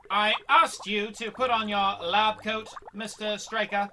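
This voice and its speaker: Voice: mid-range voice, fairly even intonation, slow, sharp tone, slight background noise. A performer playing an irritable, condescending physics professor in a radio comedy drama. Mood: dry annoyance. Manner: stern, exasperated, condescending. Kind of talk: audio drama acting.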